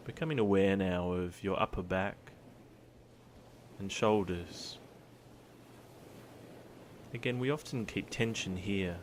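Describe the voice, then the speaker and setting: A man guiding a meditation in a calm, soothing, gentle manner, with long pauses between his instructions. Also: sits low, a little hiss or hum. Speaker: meditation guide. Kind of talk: guided body scan meditation. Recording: surf sounds behind the voice. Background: ocean surf ambience.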